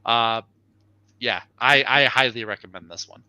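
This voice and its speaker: A man's voice: mid-range voice, measured pace, pushed and bright, clear, resonant tone, no room sound, rough recording. A man chatting casually and enthusiastically as he reviews on a livestream show, informal and unscripted.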